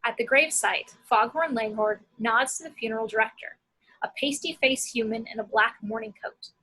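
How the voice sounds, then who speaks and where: Female narrator for screenplay action lines, bright and forceful with some rasp. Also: on the high side, normal speed, rough recording. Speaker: action-description reader.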